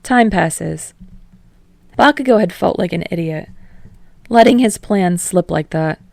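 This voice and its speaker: A woman's voice: fairly low pitch, creaky, a little mellow, dry recording, clean studio sound. A woman reading fiction aloud as an audiobook narrator in a scripted storytelling style.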